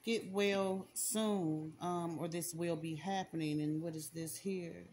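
A woman's voice: on the low side, fairly even intonation, slow pace, a little mellow, slight background noise. A woman doing a casual spiritual card reading, talking straight to the viewer, repeating herself for emphasis and using slang. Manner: repetitive, emphatic, slangy, talks to viewer directly. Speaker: spiritual reader. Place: indoors at a table, hands and cards on camera.

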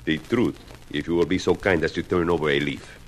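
An actor playing a weary, formal police inspector with a Spanish accent and florid phrasing in a 1950s radio drama. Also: male voice, extremely low pitch, steady tone, a little fast. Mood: probing. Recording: noisy 1950s radio recording.

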